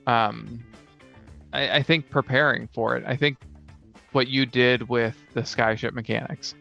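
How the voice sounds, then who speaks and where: Gravelly, even-toned man in casual chat. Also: medium pitch, unhurried, dull audio, faint music behind. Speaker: podcast co-host and game master. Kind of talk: casual chat about tabletop role-playing games.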